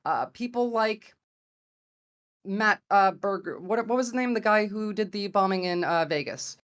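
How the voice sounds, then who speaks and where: A woman's voice: mid-range voice, moderate pace. A woman with an American accent making a debate argument in a conversational way, sometimes searching for words. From grew up in America. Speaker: debate guest. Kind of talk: argumentative debate opening statement.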